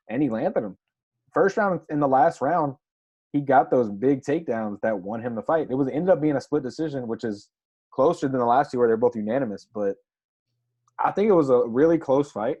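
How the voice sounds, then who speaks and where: A man with a mellow, dark voice talks in a casual, slangy way, tossing in "bro" and "like". He co-hosts a fight chat, and his dull, lo-fi mic takes the edge off the sound. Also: mid-range voice, breathy edge. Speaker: podcast co-host.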